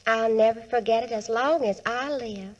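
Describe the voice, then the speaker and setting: A woman's voice: fairly high pitch, moderate pace, lo-fi sound, lightly acted. A woman playing a gracious, sweetly sentimental young lady in a 1940s radio comedy, speaking politely and affectionately. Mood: grateful, adoring. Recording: vintage 1940s radio broadcast recording.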